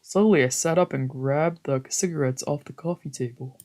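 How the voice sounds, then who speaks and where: A woman's voice: low-pitched, moderate pace, mellow. A woman VTuber streamer reading visual novel narration aloud in a dramatic storytelling style.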